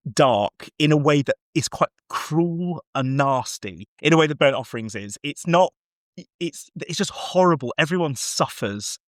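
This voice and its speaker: A man's voice: mid-range voice, no room sound. Chatty, enthusiastic man in a dry space. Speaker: podcast co-host. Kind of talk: casual film discussion chat.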